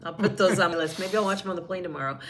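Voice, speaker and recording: A woman's voice: fairly low pitch, fast talker, fairly bright tone, slight background noise. Giggly older woman on a live stream. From United States. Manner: giggly, laughs often. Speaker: co-host of a travel channel. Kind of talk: casual live stream chat.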